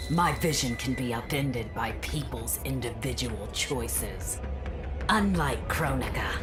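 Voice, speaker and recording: A very low, slow female villain speaks with cold, haughty bitterness. She is a vain, vengeful ice warrior in a video game, with music behind her. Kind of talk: dramatic video game narration. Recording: clear background music.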